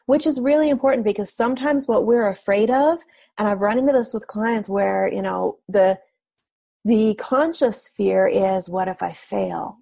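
A woman hosts a podcast in a gravelly, husky voice. She affirms her guest and talks in a relaxed, casual way. The recording sounds dull and lo-fi. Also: mid-range voice, normal speed. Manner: supportive, affirming interviewer who wraps up with plugs. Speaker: podcast host. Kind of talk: casual interview chat.

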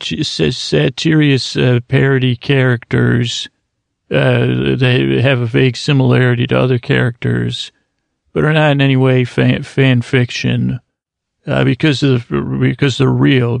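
Rambling gravelly-voiced podcast man. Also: mid-range voice, no echo. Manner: rambling, self-mocking, laughs at his own jokes. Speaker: podcast host. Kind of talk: rambling solo podcast intro.

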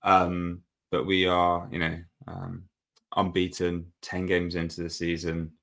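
A man's voice: low-pitched, completely flat delivery, takes it slowly, creaky, a bit bright. A man co-hosting a football podcast, chatting casually and rambling with fillers and restarts. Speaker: podcast co-host.

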